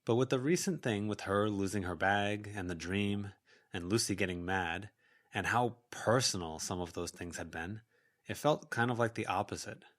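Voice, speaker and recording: Low-pitched male audiobook narrator. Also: normal speed, fairly bright tone. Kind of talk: scripted fiction narration.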